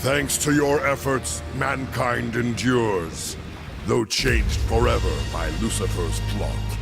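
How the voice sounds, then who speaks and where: An actor playing a stern otherworldly judge in a video game, proclaiming solemnly like an oracle. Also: male voice, mid-range voice, takes it slowly, creaky, music in the background. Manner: solemn, oracular. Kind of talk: ceremonial video game cutscene acting.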